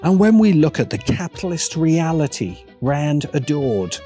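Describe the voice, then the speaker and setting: A man narrating a scripted video essay as its host, with sardonic, emphatic and deliberate phrasing. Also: fairly high pitch, very animated, warm tone. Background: faint background music.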